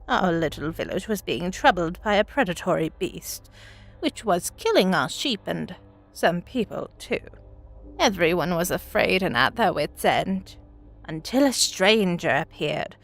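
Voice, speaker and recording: A woman voicing a very elderly village woman, telling a grim tale from her childhood in a folksy, deliberate, dramatic manner. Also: on the low side, creaky, exaggerated voice. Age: old woman as played. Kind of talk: dramatic first-person storytelling.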